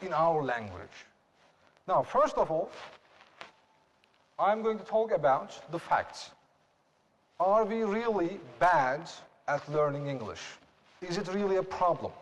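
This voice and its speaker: A young man with a Turkish accent giving a slow, deliberate classroom presentation and asking rhetorical questions. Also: on the high side, big-room sound, muffled recording. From Turkey.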